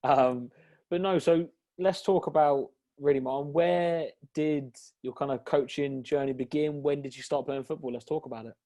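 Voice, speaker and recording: A man's voice: fairly high pitch, steady tone, normal speed, mellow. A man hosting an interview podcast on a call, chatty and easygoing, with many fillers. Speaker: podcast host. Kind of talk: casual interview. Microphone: video call.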